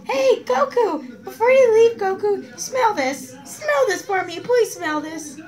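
A performer voicing excitable cartoon characters in an improvised skit, loud, giggly and exclamatory. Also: very high-pitched, slow, full character voice. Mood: pestering.

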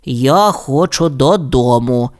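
A woman's voice: a bass voice, expressive, takes it slowly, darkish tone, exaggerated voice. A woman voicing a cautious, timid young boy tyrannosaurus in a children's story, earnest and reluctant. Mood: protesting.

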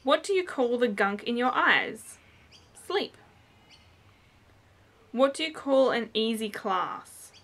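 Bright-voiced young Australian woman. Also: fairly high pitch, expressive, slow, long pauses. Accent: Australian, New South Wales. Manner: chatty, rambling with many ums and likes. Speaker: vlogger. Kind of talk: casual vlog chat. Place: small room indoors. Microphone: camera in front, head and shoulders framing.

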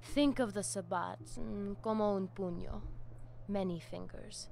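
A woman's voice: medium pitch, fairly even intonation, measured pace, vocal fry, a little mellow, soft background music. A woman playing a stern vampire gang boss in a video game, firm and menacing. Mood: proud, commanding. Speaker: vampire gang leader character. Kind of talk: scripted video game dialogue.

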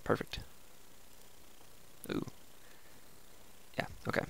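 A young man with a raspy voice, casually chatting and thinking aloud as he hosts a gameplay video. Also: drawn-out words, a little hiss or hum. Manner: relaxed, chatty, thinking aloud while playing. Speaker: let's play host.

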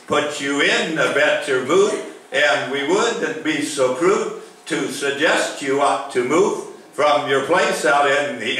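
A bright-voiced man performs comic verse as an open mic poet. His sing-song delivery is slow and fairly high. He sounds far from the mic in a very echoey lounge. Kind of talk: reciting a comic rhyming poem to an audience. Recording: echoey room from far off, faint noise.

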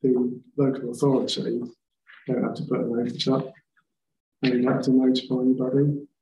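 A man on a council committee explaining planning rules at length, halting and restarting as he goes. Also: mid-range voice, little pitch movement, creaky, mellow, highs cut off, a little hiss or hum. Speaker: committee member. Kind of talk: council meeting discussion. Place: large meeting room. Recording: echoey room with faint ambience.

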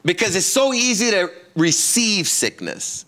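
A man's voice: high voice, very animated, unhurried, bright, forceful tone. A middle-aged man, a pastor, teaching faith in an earnest, emphatic way during a studio conversation. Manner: earnest, emphatic, quotes scripture and everyday sayings. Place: studio set with couches.

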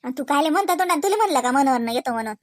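A woman's voice: sits high, lively intonation, rapid-fire, no room sound, clean studio sound. A woman playing a chatty married village daughter in a rural comedy cartoon, with a colloquial, rambling Varhadi Marathi manner. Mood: protesting, insistent. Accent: Varhadi Marathi, Vidarbha.

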